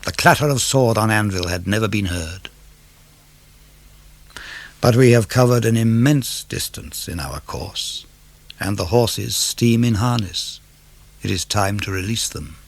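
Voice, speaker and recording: A man reads classical poetry aloud for radio. His phrasing is measured and declamatory, with a gravelly edge to the voice. The sound is dry and intimate. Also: on the low side, no echo. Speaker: poetry reader. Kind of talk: dramatic verse reading of classical poetry for radio.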